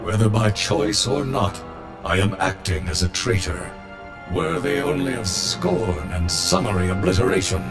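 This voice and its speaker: An actor voicing a patriotic, honor-bound war droid in a video game, speaking in formal, grandiose, wordy sentences. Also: male voice, lowish voice, big pitch swings, a little slow, vocal fry, over music, full character voice. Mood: ashamed.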